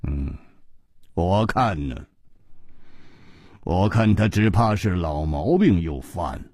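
A man voicing a calm, dry-witted male companion who speaks low and measured. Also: slightly rough, no room sound, heavily acted.

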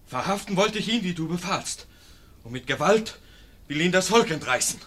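An actor playing a zealous, officious guard in a classic radio play who makes accusations. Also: male voice, high-pitched, lively intonation, normal speed, fairly bright tone. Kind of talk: classic radio drama acting.

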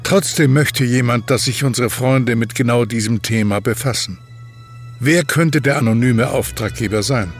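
Casting: male narrator, medium pitch, formal scripted storytelling for audio drama, clear music bed. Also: moderate pace.

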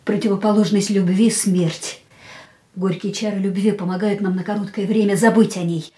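An actress playing an ailing, proud and wistful young woman, reflecting in a measured, theatrical way in a radio drama. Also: mid-range voice, fairly even intonation, mellow, slightly rough, natural voice. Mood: pensive. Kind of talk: radio play dialogue and inner monologue.

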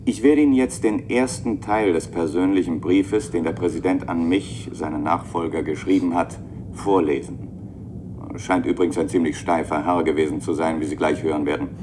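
An actor playing a composed, slightly wry statesman in a radio play, reading aloud in a measured, formal way. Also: low voice, fairly even intonation, noisy background, lightly acted. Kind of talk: German radio play acting.

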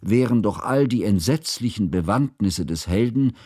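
Radio drama narrator: a scholarly, devoted male biographer, lowish voice, dry close sound, formal and deliberate literary delivery. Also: expressive, dark tone, breathy edge, no echo. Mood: measured, scholarly. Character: learned, devoted bourgeois biographer recalling his composer friend.

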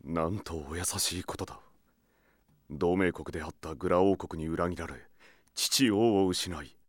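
An actor playing a loyal, courteous knight captain with serious, formal speech in an audio drama. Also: male voice, low-pitched, unhurried, no echo. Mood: moved. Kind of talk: anime-style audio drama acting.

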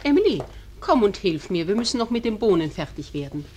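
An actress playing a brisk, no-nonsense but fond middle-aged small-town mother in a German radio drama. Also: mid-range voice, very animated, a little mellow, slight background noise, close to an everyday voice. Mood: brisk, chatty.

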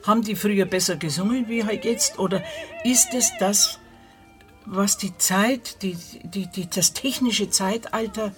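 An older woman reflecting in a documentary interview, searching haltingly for her words and posing questions to herself. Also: medium pitch, very animated, over music.

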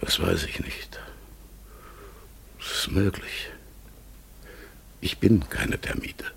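An actor playing a melancholy, solemn speaking raven in a German radio drama, with a husky, breathy voice and halting delivery. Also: male voice, low voice, expressive, fairly bright tone, heavily acted. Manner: slow, solemn, halting, dropping into whispers. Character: melancholy speaking raven longing for its lost world.

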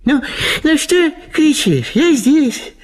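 An actor playing a blustering, teasing gentleman husband in a dramatized story, with a gravelly, husky, scolding voice. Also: very high voice, big pitch swings, slow, full character voice. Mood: amused teasing. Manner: scolding and mocking, exclamatory. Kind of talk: dramatized literary short story reading.

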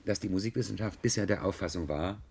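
A man's voice: low voice, fast, vocal fry, darkish tone, slightly rough. A man speaking as a musicologist in an expert conversation, emphatic and lecturing. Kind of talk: expert talk.

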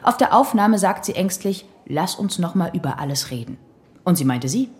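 A woman's voice: lowish voice, animated, moderate pace, lightly acted. An actress playing a probing, sardonic female investigator in a radio drama, pointed and insistent. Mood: probing, sceptical.

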